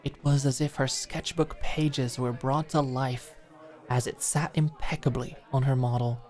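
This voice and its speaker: A woman's voice: extremely low pitch, fairly even intonation, measured pace, darkish tone. A woman reading scripted, dramatic story narration as an audiobook narrator.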